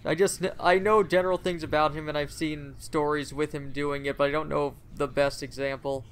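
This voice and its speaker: A man's voice: a little high, flat delivery, brisk, clear, resonant tone. A man co-hosting a podcast, chatting casually as a fan. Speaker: podcast co-host.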